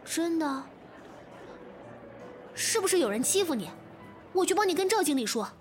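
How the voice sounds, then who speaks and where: A woman playing a caring, protective young friend and colleague in an audio drama, speaking with expressive concern. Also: extremely high pitch, normal speed. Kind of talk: modern audio drama acting. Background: faint music.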